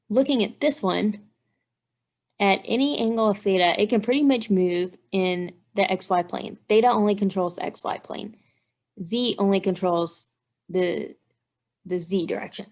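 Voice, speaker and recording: Woman teaching, creaky voice. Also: mid-range voice, darkish tone. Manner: methodical, step-by-step explaining. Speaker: engineering instructor. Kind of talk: instructional walkthrough, thinking aloud while working out math.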